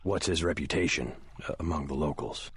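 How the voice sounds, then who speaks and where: A man playing a serious American government agent in a video game, terse and deadpan. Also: rumbling low, a little fast. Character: stoic young American male government agent, serious and clipped.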